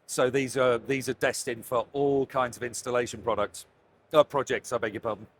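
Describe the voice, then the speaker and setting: A man's voice: medium pitch, fairly even intonation, moderate pace. A British man gives an enthusiastic, effusive product presentation as a company representative, stressing and repeating words of praise. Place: trade show floor. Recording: clear background noise of busy trade show. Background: trade show ambience noise.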